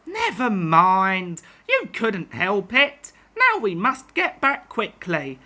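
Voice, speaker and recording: A woman's voice: medium pitch, huge pitch swings, slow, sharp tone, full character voice. A woman voicing a genial, practical male train driver in a children's story. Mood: apologetic.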